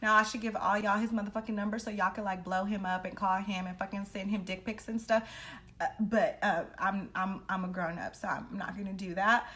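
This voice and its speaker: A woman's voice: medium pitch, a little fast. A young woman telling a personal story in a casual, chatty, dramatic way, with slangy, blunt talk and free swearing. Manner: animated, dramatic re-enacting of dialogue. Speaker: storytelling vlogger.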